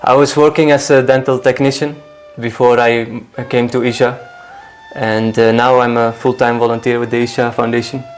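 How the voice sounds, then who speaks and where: A young man, a guest being interviewed, who explains his views in a measured, reasoned way and often asks rhetorical questions. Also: medium pitch, little pitch movement, faint music behind. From Iraq, raised in the Netherlands. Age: young adult. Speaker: guest, full-time volunteer. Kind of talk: calm explanatory interview talk.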